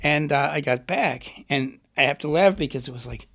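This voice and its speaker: A man's voice: fairly high pitch, moderate pace, gravelly, fairly bright tone. A middle-aged man, an author and poet, being interviewed and thinking aloud with a reflective, rambling manner. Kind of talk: conversational interview.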